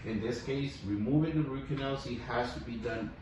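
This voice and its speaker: A man's voice: medium pitch, a little fast. Male dentist, Mexican Spanish accent, chatty clinic testimonial, off-mic in a reverberant room. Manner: friendly, rambling, tag questions like "okay?" and "you know?". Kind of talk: casual clinic testimonial chat. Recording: echoey room, far from mic, audible noise.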